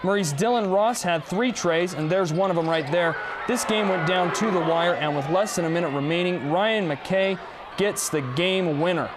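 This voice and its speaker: Young man, American, high voice, punchy sports highlight voice-over, noisy backdrop. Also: normal speed. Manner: energetic, punchy play-by-play delivery. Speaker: sports reporter intern.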